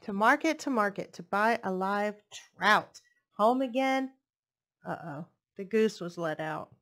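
Slow, sing-song woman reading to children. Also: mid-range voice, animated, fairly bright tone. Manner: sing-song, playful rhythmic delivery. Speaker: librarian reading storytime. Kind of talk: expressive picture-book read-aloud for children. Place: living room, indoors. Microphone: headset mic.

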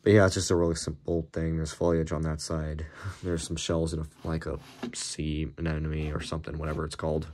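Young guy w a really low cracked voice, kinda hoarse, lots of like and um. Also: little pitch movement, brisk, darkish tone. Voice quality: deep cracked voice. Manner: rambling, many fillers and restarts. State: slightly hoarse, unwell. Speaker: Lego YouTuber. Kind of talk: casual show-and-tell of a build. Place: small room indoors at a table. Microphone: camera filming hands over a desk.

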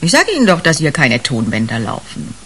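A woman's voice: low-pitched, huge pitch swings, fairly quick, fairly bright tone, slightly rough, full character voice. An actress in a 1980s German radio crime play, playing a shrewd, sardonic senior policewoman who talks bluntly and colloquially. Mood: conspiratorial. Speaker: actor in a radio crime drama.

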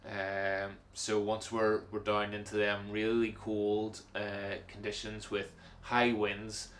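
Casual male vlogger, lowish voice, practical and unhurried, lots of ums. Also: a little hiss or hum. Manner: relaxed, practical, frequent fillers and repeated words. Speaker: hiking vlogger. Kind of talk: casual gear walkthrough.

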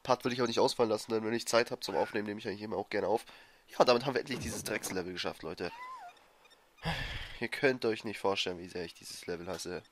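A man with a cold giving excitable, frustrated commentary over a platform game he is playing. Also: lowish voice, fast, slight background noise. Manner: frustrated, excitable, swears and laughs. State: has a cold, coughing. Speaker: let's player. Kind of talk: gameplay commentary. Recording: faint game music behind. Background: game music.

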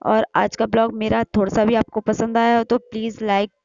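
A middle-aged woman with a hoarse voice from a sore throat, chatting casually through a cooking vlog in her home kitchen and explaining each step to her viewers. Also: a little high, little pitch movement, brisk, a little mellow. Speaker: vlogger. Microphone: phone camera. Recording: slightly muffled phone audio.

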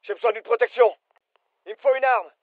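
Casting: alert game soldier, very high-pitched, clipped urgent commands, dry muffled recording. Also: male voice, fairly quick, dry recording. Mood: businesslike. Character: British fireteam soldier, alert, businesslike adult man. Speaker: voice actor, French dub. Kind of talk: military shooter game callouts.

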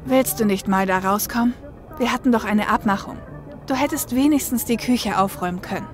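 A woman's voice: on the high side, brisk, close to an everyday voice. A woman playing a blunt, wry shadowrunner in a German audio drama. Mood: exasperated concern. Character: dry, sarcastic female shadowrunner, protective big sister. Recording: music bed behind the voice.

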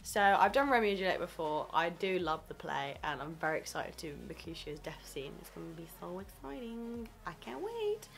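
Chatty young woman, croaky morning voice. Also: on the low side, very animated, a little fast, hardly any pauses. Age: 20s. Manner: chatty and upbeat, laughs often. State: slightly croaky voice. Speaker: drama school student vlogger. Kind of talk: casual vlog chat. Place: small student flat bedroom and kitchen, indoors. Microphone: camera mic at arm's length.

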